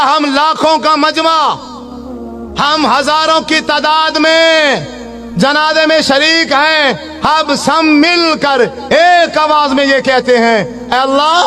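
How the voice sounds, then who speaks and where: High-pitched middle-aged man preaching. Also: pushed and bright. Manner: exhorting, repetitive, rhetorical. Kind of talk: impassioned sermon with recited prayers.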